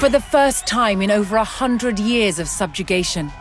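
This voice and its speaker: A narrator delivering scripted, documentary-style storytelling for a game in a measured, dramatic manner. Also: mid-range voice, animated, crowd noise. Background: music.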